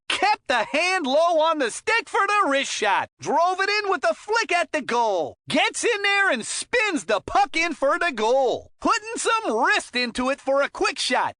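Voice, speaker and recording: High, excitable cartoon hockey announcer. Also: female voice, no room sound, clean studio sound, full character voice. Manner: exclamatory, folksy, joking. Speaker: sports commentator. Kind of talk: scripted play-by-play game commentary.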